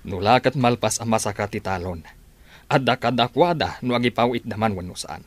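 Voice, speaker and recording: A man playing a worried old farmer father in an Ilocano radio drama, with a husky voice. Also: mid-range voice, fairly quick, dry recording, lightly acted. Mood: anxious, stern.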